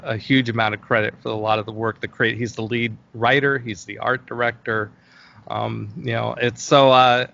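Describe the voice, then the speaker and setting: Middle-aged male interviewee, conversational and halting, creaky delivery, slightly muffled lo-fi call audio. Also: medium pitch, fairly bright tone. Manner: hesitant, rambling, thinking aloud. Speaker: interview guest, game company founder.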